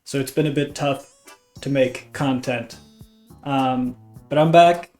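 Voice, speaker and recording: A man's voice: mid-range voice, fairly even intonation, slow pace, darkish tone. A man from Norway with a slight cold chats casually to viewers as a YouTube host, in a hesitant, self-deprecating way. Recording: voice over background guitar music. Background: guitar music.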